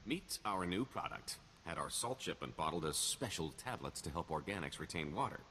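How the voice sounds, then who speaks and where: An actor playing a smooth-talking, self-assured con man in a video game, with wry, wheedling salesman patter. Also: low-pitched, lively intonation, moderate pace, pushed and bright, slight background noise. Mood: sly, scheming. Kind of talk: video game dialogue acting.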